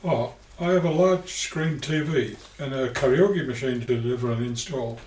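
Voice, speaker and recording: A man's voice: medium pitch, moderate pace, darkish tone, close to an everyday voice. A man playing a hesitant, deferential driver. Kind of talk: amateur radio play acting. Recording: roomy, distant amateur recording with faint hiss.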